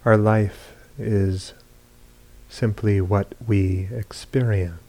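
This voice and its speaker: A man with a deep, mellow voice guides a meditation. He speaks in short, measured phrases and leaves long pauses between them. His delivery is very even, with little melodic movement. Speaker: meditation teacher. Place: meditation retreat hall.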